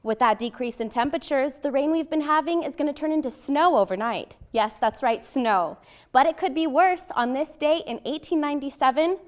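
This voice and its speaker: Higher-pitched young woman reading scripted news. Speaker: student TV news reporter and anchor.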